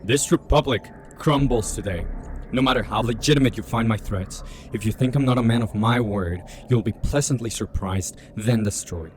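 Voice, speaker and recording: A man's voice: lowish voice, normal speed, background noise. A man playing a vengeful, grieving villain making theatrical threats in a fan audio drama. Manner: menacing, theatrical speech.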